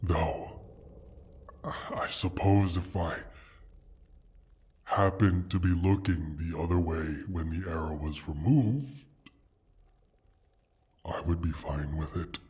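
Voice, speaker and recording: A mighty wounded dragon with a low, very raspy, breathy voice. He is prideful and disdainful, speaking in slow, halting, theatrical phrases with open menace, as in a fantasy audio roleplay. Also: darkish tone, heavily acted. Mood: grudging, sly. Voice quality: husky, breathy rasp. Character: proud, injured male dragon, menacing and haughty.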